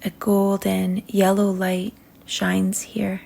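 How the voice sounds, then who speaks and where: A woman leading a guided meditation in a soothing manner, speaking in short phrases with long pauses that trail softly toward a whisper. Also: mid-range voice, completely flat delivery, vocal fry.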